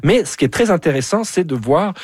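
Husky Frenchman chatting as radio columnist. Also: a little high, animated, brisk, dry recording, clean studio sound. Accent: French from France. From France. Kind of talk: casual radio commentary.

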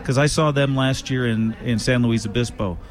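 A man's voice: medium pitch, steady tone, moderate pace. A man from Chicago hosting a casual live radio interview outdoors, asking easygoing, chatty questions.

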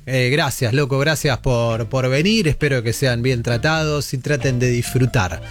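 Rambling Argentine radio host over music. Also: male voice, medium pitch, normal speed. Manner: informal, rambling. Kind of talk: casual late-night chat with listeners. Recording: music playing behind.

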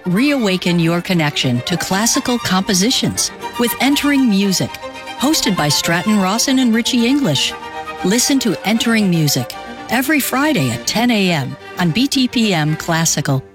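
A woman announces a scripted radio promo. Her mid-pitched voice swings in animated intonation over prominent music. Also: normal speed.

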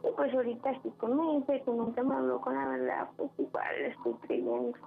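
A woman from southern Mexico, hurt and resigned, calmly saying goodbye on a phone call. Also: high-pitched, quick pace, creaky, slightly rough. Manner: hurt, calm, resigned farewell. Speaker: prank call target. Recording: tinny phone line.

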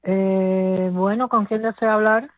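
A woman's voice: medium pitch, steady tone, takes it slowly, dry recording. A woman with a Cuban accent arguing on the telephone in a blunt, combative, informal way. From Cuba. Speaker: prank call recipient. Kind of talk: heated informal phone argument. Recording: muffled, noisy telephone line.

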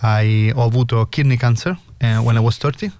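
A middle-aged man from Sicily with a strong Italian accent, a guest on an interview who talks haltingly with many fillers and restarts. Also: mid-range voice, steady tone, a little mellow, no room sound. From Sicily, Italy. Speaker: guest, cancer researcher. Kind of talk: casual interview chat.

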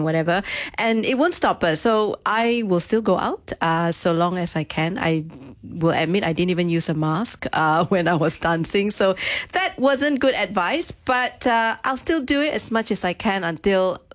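A woman's voice: on the low side, no echo. Upbeat woman co-host, dry studio. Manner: lively, rambling, upbeat. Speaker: radio co-host. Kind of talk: casual radio talk.

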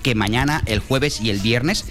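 A male radio meteorologist with an accent from Spain delivers the forecast in a conversational style. His voice sits at a middle pitch, with faint music behind it. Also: moderate pace, a bit bright. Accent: Spanish from Spain.

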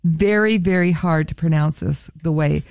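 A woman who works at a museum, talking in a relaxed radio interview and promoting events and admission rates in an upbeat way. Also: low voice, moderate pace, vocal fry, smooth, dry recording. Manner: upbeat, promotional, careful over a foreign word. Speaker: museum staff guest.

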